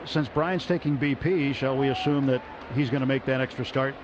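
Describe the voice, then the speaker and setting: A man calling baseball play-by-play on the radio from a ballpark booth, steady and rising sharply on big plays. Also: mid-range voice, moderate pace. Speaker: play-by-play announcer. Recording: muffled radio broadcast with crowd noise.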